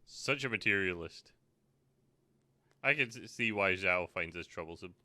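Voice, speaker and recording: Bright-voiced British man, sarcastic game streamer. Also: deep voice, expressive, unhurried, no echo. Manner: sarcastic, sweary. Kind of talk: casual playthrough commentary.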